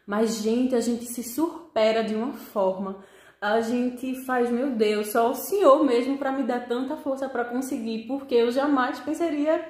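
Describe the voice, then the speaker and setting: A young woman speaks in a somewhat high voice with a Northeastern Brazilian accent. She chats casually and expressively, addressing her audience directly as a vlogger would. The sound is that of an indoor room with strong echo, picked up by a camera about an arm's length away. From Pernambuco, Northeast Brazil. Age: young adult. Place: small living room with desk, indoors. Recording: echoey room.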